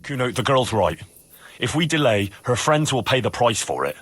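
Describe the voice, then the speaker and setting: An actor playing a stubborn, righteous young hero in a video game, arguing insistently. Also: male voice, mid-range voice, very animated, moderate pace, a bit bright, slightly rough, dry recording. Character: earnest, indignant, stubborn young male hero. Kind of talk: video game cutscene acting.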